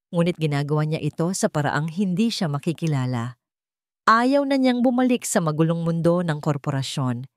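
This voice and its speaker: A woman's voice: on the low side, dry recording, studio quality. A woman with a Filipino accent narrating a scripted dramatic story.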